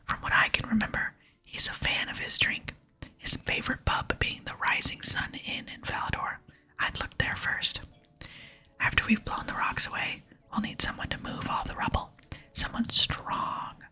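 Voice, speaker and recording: A woman's voice: bright, forceful tone, rough recording. Woman whispering as a boastful female archaeologist. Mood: brisk, bossy. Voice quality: breathy whisper. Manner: self-important, brisk. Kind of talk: whispered ASMR reading of game dialogue.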